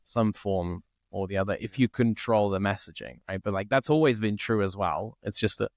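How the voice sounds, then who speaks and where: A man from Italy co-hosting a podcast, chatting casually and thinking out loud, often checking in with "right?". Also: on the low side, moderate pace, no echo, studio quality. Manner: thinks aloud, peppers speech with "like" and "right?". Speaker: podcast co-host. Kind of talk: casual conversation.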